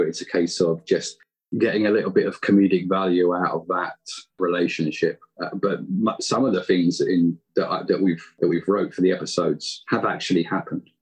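A man's voice: sits low, steady tone, normal speed, slightly rough, poor audio. A British man in a casual interview, talking in a self-deprecating, hesitant way. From Britain. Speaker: podcast creator being interviewed.

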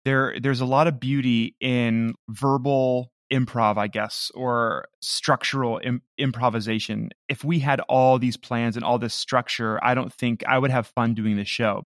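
A man's voice: medium pitch, steady tone, a little slow, hardly any pauses, no echo. Reflective man in a dry recording. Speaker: audio comedy creator, interviewee. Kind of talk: casual interview chat.

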